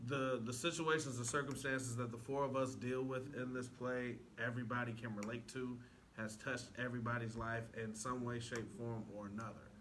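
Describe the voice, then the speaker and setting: A man's voice: mid-range voice, steady tone, moderate pace, fairly bright tone. A man talking casually and earnestly as an actor guest in an interview. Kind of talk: casual, earnest promotional talk. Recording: faintly noisy room recording.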